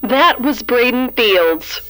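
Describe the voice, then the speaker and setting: A woman's voice: a little high, animated, slow pace, sharp tone, soft background music, full character voice, rough recording. A woman playing a composed news reporter in an audio drama, delivering a scripted report. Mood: serious.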